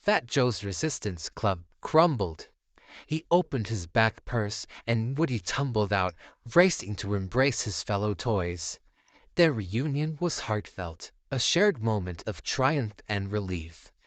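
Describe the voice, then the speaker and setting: Slow, expressive male storyteller. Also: fairly low pitch, no echo. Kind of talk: scripted bedtime story narration.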